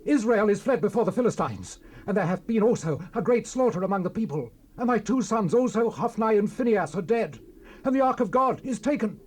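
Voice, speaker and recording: Casting: distraught soldier-messenger, high voice, biblical audio drama. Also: male voice, animated, a little fast, darkish tone. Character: distraught army messenger bearing dreadful news. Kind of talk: dramatized Bible audio recording.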